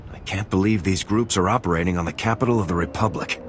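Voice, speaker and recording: A man's voice: low voice, fast, big-room sound, a little hiss or hum, close to an everyday voice. A man playing a brash, cynical army lieutenant in a video game, curt, cocky and insolent. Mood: defiant. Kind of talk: scripted game dialogue.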